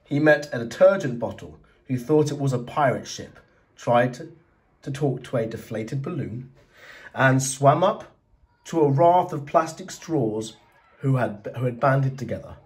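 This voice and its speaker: Expressive man reading a children's story. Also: medium pitch, a little slow, far from the mic.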